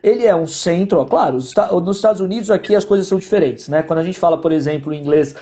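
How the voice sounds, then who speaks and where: A man's voice: high voice, fast, warm tone. A man with a Brazilian accent giving explanatory political commentary in a didactic way, repeating points for emphasis and often adding tag questions.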